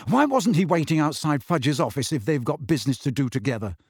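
A man's voice: a little high, animated, fairly quick, breathy edge, no echo. A man voicing a defiant teenage boy wizard who speaks bluntly and with indignation, in a dry audiobook reading. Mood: indignant.

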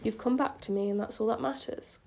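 A woman reading a gentle, devoted young man from a romance fan fiction, softly and earnestly, with a creaky voice. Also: a little high, steady tone, brisk. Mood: tender. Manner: soft, earnest, tender.

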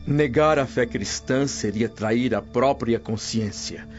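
A man's voice: medium pitch, slow pace, close to an everyday voice. A man with a Brazilian accent playing a devout, dignified freed slave held prisoner, solemn and formal in a radio soap. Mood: solemn, resolute. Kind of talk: radio soap acting in Portuguese. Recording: muffled radio drama with music underneath.

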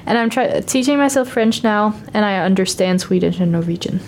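A woman's voice: mid-range voice, a little fast, a little mellow, a little hiss or hum. Chatty young Danish woman student. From Denmark. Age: young adult. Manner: chatty, upbeat, fillers of like. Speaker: exchange student interviewee. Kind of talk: casual interview chat.

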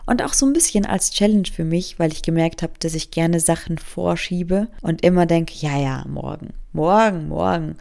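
A young German woman in her twenties talks through a casual solo podcast episode. Her voice sits low and carries a gravelly fry. She rambles from thought to thought, teases herself, and sprinkles English phrases into her talk. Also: animated, normal speed, few pauses, darkish tone. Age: 20s. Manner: rambling, self-mocking, drifts off topic. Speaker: podcast host.

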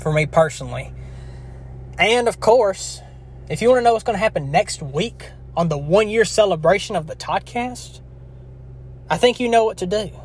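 A man's voice: high-pitched, expressive, normal speed, breathy edge. A man of twenty-one hosting his own podcast in a casual, rambling, earnest way, his throat scratchy from a long session. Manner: rambling, earnest, with frequent restarts. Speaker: podcast host. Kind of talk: casual solo podcast wrap-up.

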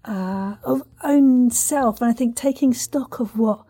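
A woman's voice: fairly high pitch, slow pace, warm tone, breathy edge. A woman hosting a casual podcast interview, chatty and effusive, with playful turns of phrase.

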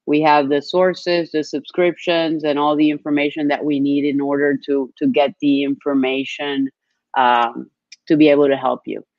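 Woman with flat, even delivery. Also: sits low, normal speed, dry recording. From Colombia. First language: Spanish. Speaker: webinar co-host, business consultant. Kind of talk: informational webinar presentation. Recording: dull, dry lo-fi sound.

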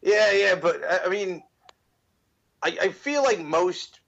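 A man's voice: sits high, animated, takes it slowly, creaky, fairly bright tone, dull audio. A man co-hosting a podcast, stammering and joking through casual chat. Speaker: podcast co-host.

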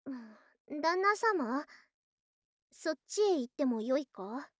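A voice actress playing an affectionate snake-spirit wife in a Japanese ASMR voice drama, with archaic, coy, excitable speech and open devotion. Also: female voice, high-pitched, takes it slowly, no echo, clean studio sound, heavily acted. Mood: wistful, sweet. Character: affectionate female lamia snake-spirit wife, girlish yet old-fashioned.

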